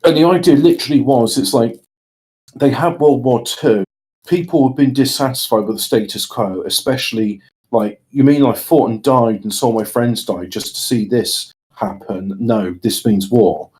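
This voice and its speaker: A man's voice: medium pitch, moderate pace, a little mellow. A man co-hosting a podcast in casual conversation, explaining his views and acting out imagined quotes as he goes. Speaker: podcast co-host.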